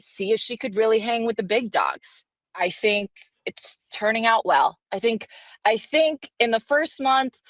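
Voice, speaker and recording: A woman's voice: mid-range voice, fairly bright tone, no echo. An American woman, a sports reporter guesting on a podcast, chatting casually in a playfully assertive way. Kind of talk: casual interview chat.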